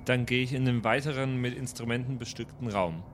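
A man's voice: mid-range voice, brisk, slight background noise. A man chatting casually as a player in an improvised tabletop role-playing game. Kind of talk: casual chat. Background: music.

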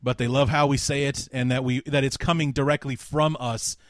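A male podcast host with a baritone voice and a lively, joking way of talking to his guest. The sound is dry and close. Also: a little fast, dry recording. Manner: enthusiastic, joking banter. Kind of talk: casual football podcast chat.

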